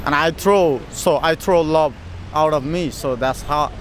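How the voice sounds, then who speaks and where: A man from Nepal, speaking calmly and philosophically in a casual street interview outdoors. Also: fairly high pitch, a little hiss or hum, low-quality recording. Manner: calm, philosophical, unhurried. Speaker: interviewee, chef. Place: outdoors by a beach, traffic around.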